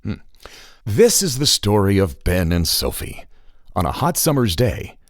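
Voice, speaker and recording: A man's voice: lowish voice, big pitch swings, a little slow, dry recording, clean studio sound. A man playing a genial but easily exasperated storyteller, narrating theatrically for children. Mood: storytelling.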